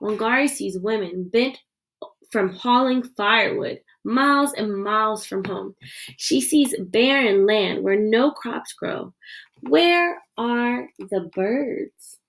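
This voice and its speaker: A woman with a slightly high voice reads a picture book aloud. Her delivery is expressive storytelling, shaped for young listeners. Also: slow pace, gravelly, rough recording. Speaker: read-aloud reader.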